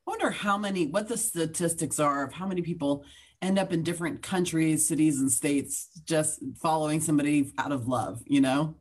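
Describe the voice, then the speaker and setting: A woman hosting a podcast who welcomes her guest and asks questions in a casual, friendly way, with quick asides. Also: sits low, slightly rough. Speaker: podcast host.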